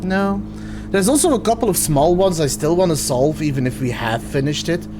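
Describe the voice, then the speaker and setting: A man's voice: a little high, lively intonation, moderate pace, music in the background. A man with a Belgian accent, chatting casually and thinking aloud while he streams a puzzle game. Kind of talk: casual chat while gaming.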